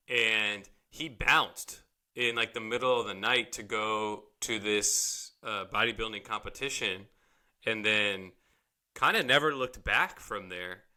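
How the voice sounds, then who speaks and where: A man's voice: lowish voice, a little slow, bright, forceful tone. A young man co-hosting a podcast, easygoing and agreeable in casual chat. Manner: easygoing, agreeable, laughs along. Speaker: podcast co-host.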